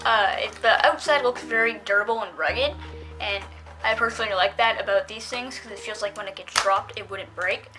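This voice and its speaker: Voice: mid-range voice, normal speed, over music, low-quality recording. A female-sounding reviewer giving a casual, unscripted product demonstration, talking in a hesitant, rambling way.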